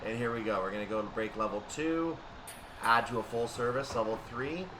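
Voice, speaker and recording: Guy doing chill step by step sim game commentary, bit far from the mic, loud droning noise behind him. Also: medium pitch, brisk. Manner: methodical, narrates each action step by step. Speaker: gaming video host. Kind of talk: casual live train simulator commentary. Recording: distant mic with loud steady background noise.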